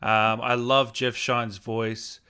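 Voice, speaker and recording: A man's voice: medium pitch, level tone, slow, pushed and bright, clean tone. A man hosting a casual interview, reading viewer comments aloud and dropping short interjections.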